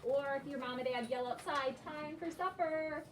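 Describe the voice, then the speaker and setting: A woman's voice: high-pitched, moderate pace. A woman teacher leading an interactive read-aloud lesson with children, asking questions and repeating and affirming their answers. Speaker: primary school teacher. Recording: roomy, noisy, distant classroom microphone.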